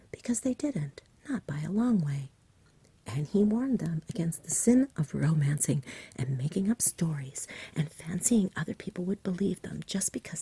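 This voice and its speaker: A woman reads a bedtime story as soft ASMR. Her voice is extremely breathy and whispered, with a mellow, dark tone. She goes gently and without hurry, close to the mic in a dry, intimate recording. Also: low voice, dry recording. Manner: gentle, unhurried. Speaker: storybook reader.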